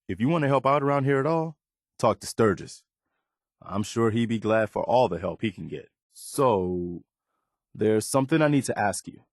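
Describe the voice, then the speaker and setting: An actor voicing an earnest, resolute militia leader who rallies settlers, in video game dialogue. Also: male voice, mid-range voice, no room sound, studio quality, natural voice.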